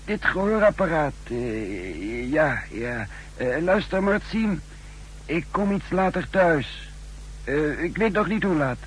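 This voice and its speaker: A man playing a nervous, hesitant double agent in a 1960s radio drama, speaking formally with stammering pauses. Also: on the high side, sing-song, a little hiss or hum, poor audio. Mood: hesitant, evasive. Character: nervous, hesitant male double agent posing as a spy chief. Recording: humming old 1960s radio recording.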